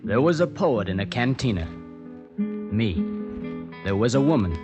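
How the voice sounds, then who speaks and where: An actor playing a proud, brooding young poet who narrates his story in measured, literary 1950s radio style. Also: medium pitch, sing-song. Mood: brooding, reflective. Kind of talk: 1950s radio acting, first-person narration. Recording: vintage radio drama, loud guitar behind.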